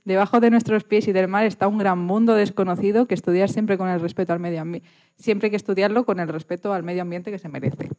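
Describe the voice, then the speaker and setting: Mellow-voiced Spanish woman interviewer. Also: medium pitch, fairly even intonation, fast. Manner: informal, encouraging. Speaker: radio interviewer. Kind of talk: casual conversational interview.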